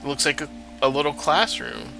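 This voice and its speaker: A man's voice: fairly high pitch, normal speed, music in the background. A man casually commenting while playing a video game, rambling and thinking aloud, with a stuffy nose. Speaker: gameplay streamer. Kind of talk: casual let's-play commentary.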